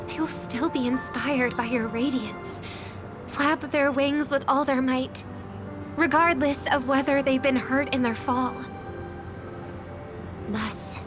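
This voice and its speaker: An actress playing a devout, hopeful young woman singer, delivering a lyrical, prayer-like game cutscene monologue over score music. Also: fairly high pitch. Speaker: game character.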